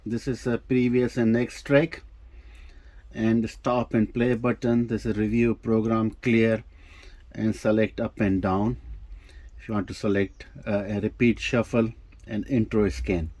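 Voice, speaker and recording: Casual man demonstrating gear, mellow voice. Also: on the low side, flat delivery, a little slow. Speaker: presenter of a vintage audio gear demo. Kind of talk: casual hands-on product demonstration.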